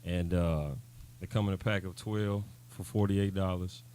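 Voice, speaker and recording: A man's voice: deep voice, little pitch movement, measured pace, mellow, smooth. An American man co-hosting a podcast, explaining things in a laid-back, slangy, casual way. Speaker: podcast co-host.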